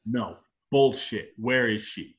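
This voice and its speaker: Slow, cocky space outlaw played by a man. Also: medium pitch, a bit bright. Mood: angry demanding. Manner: taunting, insistent, drawn-out demands. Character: cocky male space outlaw turned anguished and enraged. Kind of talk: amateur table read of a film script.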